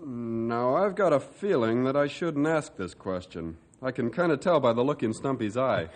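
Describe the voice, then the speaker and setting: An actor playing a wry, good-natured sidekick in an old-time radio western. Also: male voice, mid-range voice, expressive, normal speed, natural voice. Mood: teasing.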